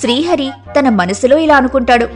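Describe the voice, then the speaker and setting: Female storyteller, scripted moral tale narration, fairly high voice, faint music behind. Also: brisk.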